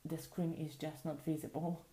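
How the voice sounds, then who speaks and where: A woman whose first language is Polish, calmly explaining phone functions step by step in a patient way. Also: low-pitched, normal speed, echoey room, low-quality recording. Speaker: tutorial presenter. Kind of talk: casual spoken tutorial. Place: indoors at a table.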